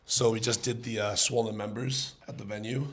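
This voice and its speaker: A man from Ontario, Canada, a rapper guesting on a podcast, talking in a laid-back, joking way with a hoarse, worn-out voice. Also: fairly low pitch, steady tone, fairly quick, slight background noise. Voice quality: gravelly. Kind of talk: casual podcast chat.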